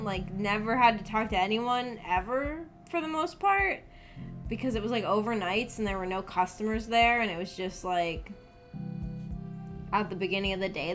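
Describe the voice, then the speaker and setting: A young woman chats informally to her stream audience. Her delivery is shy and hesitant, wandering as she searches for how to put things. Background music plays under her voice. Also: medium pitch. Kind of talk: casual chat with livestream viewers.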